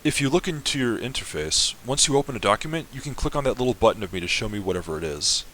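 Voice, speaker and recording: A man's voice: mid-range voice, lively intonation, quick pace, sharp tone, slight background noise. A man reading the lines of an apologetic, chatty female ship AI from a game. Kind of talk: semi-dramatic reading of a game.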